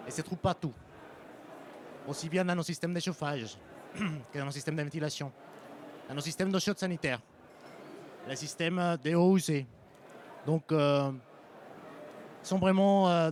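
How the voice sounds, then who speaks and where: A man with a Mexican Spanish accent giving a conference presentation as a sales engineer, pausing often with ums and restarting phrases. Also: fairly high pitch, slight background noise. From French-Mexican. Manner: hesitant, frequent ums and restarts. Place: conference hall.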